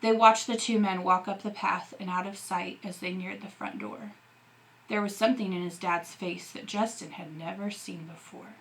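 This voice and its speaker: A woman narrates a novel as a teacher reading aloud. Her voice sits at a medium pitch with a bright, forceful tone, and her storytelling is expressive. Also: moderate pace, poor audio.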